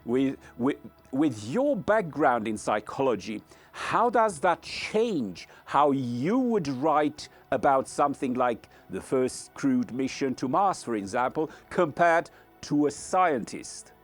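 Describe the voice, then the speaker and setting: A male podcast host speaks earnestly with a lively, swooping pitch and an unhurried pace. He stresses his words with care, and music plays faintly behind him. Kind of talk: scripted podcast introduction and interview.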